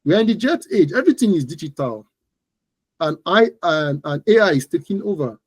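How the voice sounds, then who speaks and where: A man's voice: on the high side, sing-song, fairly quick, slightly rough, dry recording. A man with a Nigerian accent, explaining things as an expert interview guest in an emphatic, instructive, conversational way, often repeating phrases.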